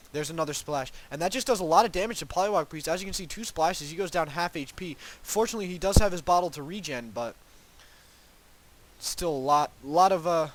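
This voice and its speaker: A man's voice: fairly high pitch, slight background noise. Congested young man casting a game. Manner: rambling, hedging asides with many ums and restarts. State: congested, sniffling from a cold. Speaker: game caster. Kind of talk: solo play-by-play esports commentary.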